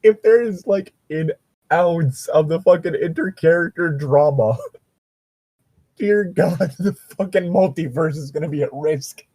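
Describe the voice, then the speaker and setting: A man co-hosting a casual podcast, riffing and joking in a profane, giggly, rambling way with a husky voice. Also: on the high side, unhurried, warm tone. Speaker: co-host of a podcast.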